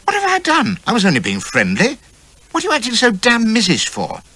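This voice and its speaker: A man's voice: medium pitch, very animated, brisk, bright, forceful tone, slightly rough, voice pushed up, exaggerated voice. A man voicing a brash, caddish young army officer who blusters and swaggers. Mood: blustering. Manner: blustering, cocky. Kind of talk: audiobook character voice.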